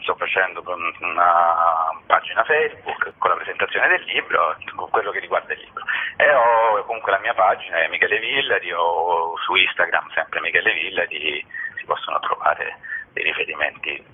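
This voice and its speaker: A man's voice: low-pitched, moderate pace, husky. A man, an author being interviewed, speaking casually in a hesitant, rambling, thoughtful way. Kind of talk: casual radio phone interview. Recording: muffled narrow-band telephone line.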